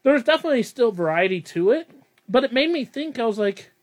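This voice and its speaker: A man's voice: extremely high pitch, very animated, fast talker. A young man hosting a casual podcast, rambling and self-deprecating, laughing often. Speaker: podcast host.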